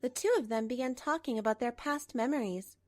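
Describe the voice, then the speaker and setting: A woman's voice: a little high, brisk, a little mellow. A woman reading a scripted first-person drama narration for a manga story dub. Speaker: narrator and lead voice actor.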